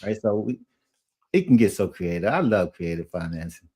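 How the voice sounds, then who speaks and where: A man chatting casually as a guest investor, relaxed and enthusiastic. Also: lowish voice, moderate pace, husky. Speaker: guest real estate investor. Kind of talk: casual chat.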